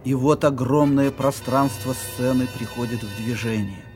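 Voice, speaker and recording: An actor playing a solemn narrator of an audio play, deliberate, with dramatic pauses. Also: male voice, medium pitch, darkish tone, lightly acted. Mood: solemn, atmospheric. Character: solemn atmospheric stage narrator. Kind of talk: theatrical scripted narration. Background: music.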